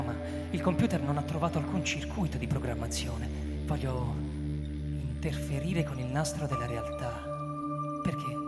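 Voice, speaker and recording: A male actor plays a troubled android man reasoning his way through obsessive thoughts in a radio drama. The voice sits a little high and halts on repeated words. It rings through a reverberant hall over loud music. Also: close to an everyday voice. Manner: halting, repeats words to himself.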